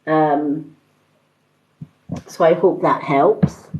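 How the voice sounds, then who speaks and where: A woman hosts a casual live stream as a card reader, speaking in a calm and soothing way. She takes her time, with a very unhurried pace. The sound is roomy and slightly dull, like modest livestream audio. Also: sits low.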